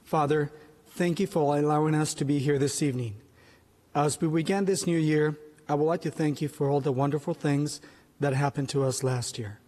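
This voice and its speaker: A man on the city council delivering an invocation in a slow, solemn, measured way. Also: fairly high pitch, dark tone. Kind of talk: spoken prayer. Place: council chamber. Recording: long reverb in a council chamber.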